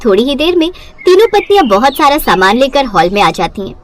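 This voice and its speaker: A woman's voice: high-pitched, sing-song, fairly quick, slight background noise. A woman narrating a scripted bedtime story as its storyteller.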